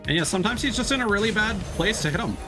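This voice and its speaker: A man's voice: sits high, expressive, rapid-fire. A man streaming a video game with casual live commentary, chatty and polite as he thinks aloud. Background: video game music.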